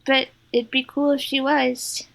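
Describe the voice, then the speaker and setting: A woman with a high voice takes part in a tabletop game. She role-plays casually and unhurriedly, with simple improvised lines. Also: level tone, measured pace, creaky.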